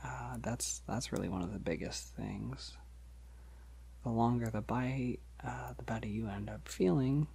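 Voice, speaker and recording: A man playing a polite, gentle, shy vampire in an ASMR roleplay, speaking softly and hesitantly to reassure the listener, often near a whisper. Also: medium pitch, gravelly, slightly rough, exaggerated voice. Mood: friendly, encouraging. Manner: hesitant, reassuring, halting with soft chuckles.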